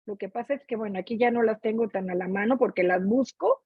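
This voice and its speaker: A woman's voice: medium pitch, fast talker, breathy edge. A middle-aged woman with a Mexican accent, chatting expressively about what she reads as a club participant, often checking for agreement. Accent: Mexican Spanish. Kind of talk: casual spoken book commentary. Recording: muffled, echoey online meeting audio.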